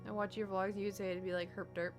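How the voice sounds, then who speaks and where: A woman's voice: mid-range voice, flat delivery, quick pace. A woman from the United States, a gaming streamer rambling in casual chat and answering her chat. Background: background music.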